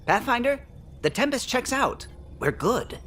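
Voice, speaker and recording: A man's voice: extremely high pitch, very animated, measured pace, fairly bright tone, exaggerated voice. An actor playing a male alien starship pilot in a video game, chatty, precise and fond of reminiscing. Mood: businesslike. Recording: music behind the voice.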